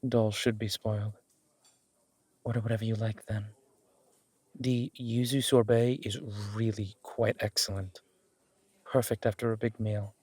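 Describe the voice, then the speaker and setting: A man's voice: medium pitch, a little mellow, slightly rough, dry recording. A man acting a playful, affectionate mafia boss on a date in a romantic audio drama, with a casual, teasing manner. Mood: playful, indulgent. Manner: teasing, casual, mock-indignant, swearing.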